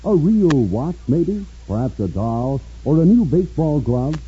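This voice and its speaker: Fifties radio ad man, very dark and husky, lively folksy selling, muffled old recording. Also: a little high, a little slow. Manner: folksy, direct address to listeners. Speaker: radio commercial pitchman. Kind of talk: 1950s radio advertising pitch.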